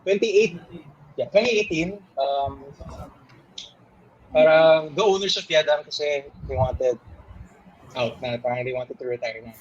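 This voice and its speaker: Young man, slightly high voice, rambling Taglish chat, dull lo-fi sound. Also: measured pace, long pauses, a little hiss or hum. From Philippines. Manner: switches between English and Tagalog in loose, rambling sentences. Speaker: guest. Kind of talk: casual interview chat.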